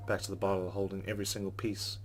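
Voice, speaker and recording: Guy with a low voice reading visual novel narration, reflective and subdued, game music in back. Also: little pitch movement, fast. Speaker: let's player.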